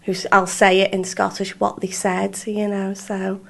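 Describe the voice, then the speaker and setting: A woman with a Liverpool accent, chatting in an interview, full of fillers and quick laughs. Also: mid-range voice, completely flat delivery, gravelly. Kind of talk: casual interview chat.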